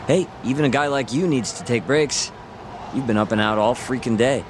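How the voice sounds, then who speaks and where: A man's voice: medium pitch, moderate pace, lightly acted. Breezy male sidekick played by an actor, noisy background. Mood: reassuring. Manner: breezy, informal. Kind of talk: dramatic video game acting. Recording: clear urban outdoor background noise.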